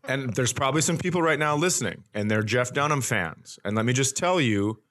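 A man's voice: mid-range voice, expressive, brisk, fairly bright tone. A man hosting a comedy podcast, telling stories casually in a wry, self-deprecating, conversational way.

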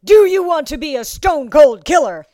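A woman's voice: a little high, slow, darkish tone, smooth, no room sound, clean studio sound, pitched above the performer's own voice. A woman voicing a scornful, commanding male drill instructor in an audiobook, barking and taunting. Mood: bellowing contempt. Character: bellowing small thirty-year-old male drill instructor, scornful and commanding. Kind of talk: audiobook character acting.

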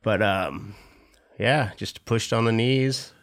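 Laid-back man with a smooth low voice. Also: slow pace. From Southern California. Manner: rambling, laid-back, swears, many fillers and laughs. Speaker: podcast guest, former pro skater. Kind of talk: casual interview chat.

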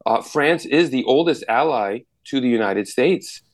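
A man talking as an entrepreneur guest on a podcast, answering in a halting way with many restarts. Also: medium pitch, lively intonation. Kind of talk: casual interview answers.

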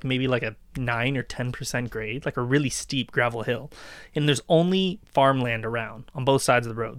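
A Canadian man co-hosts a podcast in an informal, conversational way. His voice sits at an average pitch. He tells stories loosely, restating phrases for emphasis, and the sound is dry with very little room. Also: fairly bright tone, dry recording. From southwestern Ontario, Canada. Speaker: podcast co-host. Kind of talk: casual chat, anecdotal storytelling.

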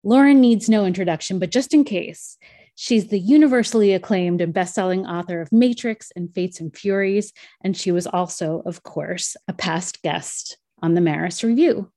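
A woman's voice: on the low side, moderate pace, warm tone. A woman podcast host reading a scripted introduction to a guest episode.

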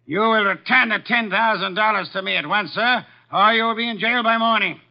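Irritable detective, formal and pompous, fairly high voice, 1950s radio acting, muffled band-limited sound. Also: male voice, fairly quick. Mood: stern, commanding. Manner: pompous, formal, precise diction. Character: imperious, irritable, money-minded male private detective.

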